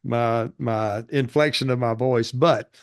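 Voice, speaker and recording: A man with a monotone voice, a real estate educator giving steady explanations in a casual interview. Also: medium pitch, takes it slowly. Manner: steady explanatory delivery with fillers.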